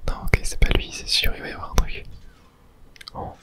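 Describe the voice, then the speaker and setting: Male ASMR gaming streamer. Fully whispered, breathy, casual play-by-play on a close mic. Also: normal speed, bright, forceful tone. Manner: casual, murmured reactions to gameplay. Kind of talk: whispered ASMR video game commentary.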